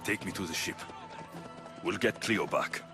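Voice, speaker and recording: A man's voice: sits low, unhurried, music in the background. A man playing a bold, confident, protective mercenary in a cinematic video game. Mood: cool, assured. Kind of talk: cinematic game dialogue acting.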